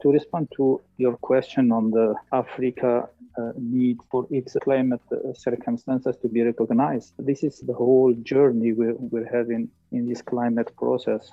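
A man with an Algerian accent answers as an expert guest, explaining deliberately and with emphasis. His voice is husky and of average pitch. It comes through thin and narrow, like a phone call. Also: flat delivery, dry recording. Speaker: climate policy consultant, interview guest. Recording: thin phone-like call audio, faint music.

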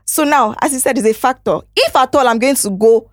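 A woman in her early twenties with a Nigerian accent, a podcast guest who calmly defends her choices and reasons through her points. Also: high voice, brisk, no room sound, studio quality. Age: 20s. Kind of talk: casual podcast debate.

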